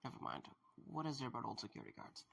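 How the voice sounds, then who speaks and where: A man's voice: mid-range voice, wildly swooping pitch, very fast, vocal fry, fairly bright tone, slight background noise, full character voice. A man playing a weary, wary investigator in an audio drama, talking to himself. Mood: musing.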